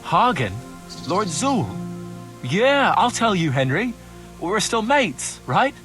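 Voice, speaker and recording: A man's voice: sits high, big pitch swings, slow, a bit bright, faint music behind, heavily acted. A man playing a cowardly, roguish young turncoat in a video game cutscene, whining, wheedling and foul-mouthed. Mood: pleading, scared. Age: young adult.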